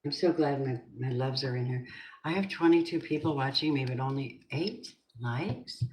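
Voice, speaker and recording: A woman's voice: extremely low pitch, moderate pace, a little mellow. Chatty older woman reading tarot live. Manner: chatty, rambling, affectionate, calls viewers babies. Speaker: tarot reader. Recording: noisy home stream with clicks.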